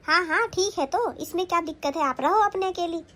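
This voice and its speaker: A woman acting a sharp-tongued, defiant elder daughter-in-law in a family skit. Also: very high-pitched, brisk. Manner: snapping, defiant.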